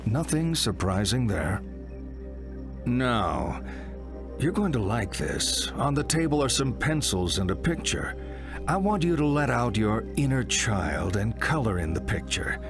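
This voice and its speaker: An actor playing a middle-aged psychotherapist, deliberate, probing and slightly theatrical, in a video game monologue. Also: male voice, mid-range voice, sing-song. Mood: coaxing, probing. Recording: loud music under the voice.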